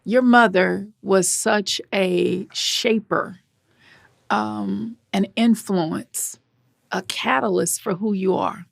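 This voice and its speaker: A woman's voice: medium pitch, fairly even intonation, slow, creaky, dry recording, studio quality. A woman hosting an interview podcast, folksy and enthusiastic. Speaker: podcast host.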